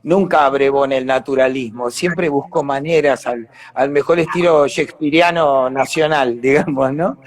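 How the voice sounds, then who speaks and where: A man's voice: mid-range voice, normal speed, hardly any pauses. An Argentine man, an actor being interviewed, talking casually and reflectively as he explains things and searches for words. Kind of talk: casual live conversation.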